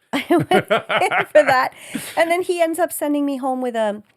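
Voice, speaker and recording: A woman co-hosting a podcast, telling an oversharing story in animated, casual chat. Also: high voice, a little slow, dry recording, clean studio sound. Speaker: podcast co-host.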